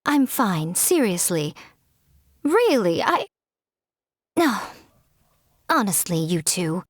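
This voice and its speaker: A woman's voice: medium pitch, sing-song, takes it slowly, no room sound, studio quality. A woman voicing a sly, self-indulgent shrine priestess in a video game, with a coy, playfully smug and haughty manner. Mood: composed, evasive. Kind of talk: video game character acting.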